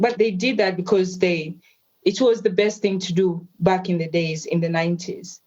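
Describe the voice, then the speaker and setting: A woman, telling her life story as an interview guest in a reflective, measured way. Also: lowish voice, darkish tone, lo-fi sound. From Tanzania.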